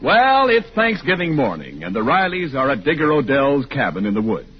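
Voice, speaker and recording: A man's voice: mid-range voice, wildly swooping pitch, moderate pace, soft background music. A man with an American accent delivering scripted narration as an announcer on a vintage radio show. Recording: muffled, hissy old radio recording.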